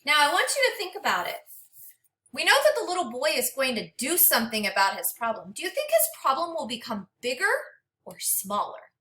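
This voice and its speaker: A woman reading a picture book aloud to young children like a teacher, expressively and dramatically, pausing to speak to her listeners. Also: high-pitched, wildly swooping pitch, bright, forceful tone. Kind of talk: interactive children's read-aloud with questions to listeners. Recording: echoey room, distant microphone.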